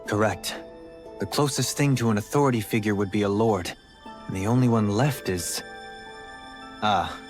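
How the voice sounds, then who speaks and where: An actor playing a cool, skeptical lord who speaks in a measured, formal and dry way. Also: male voice, on the low side, faint music behind, close to an everyday voice. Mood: grave, dry. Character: cool, skeptical lord disillusioned with his ruler.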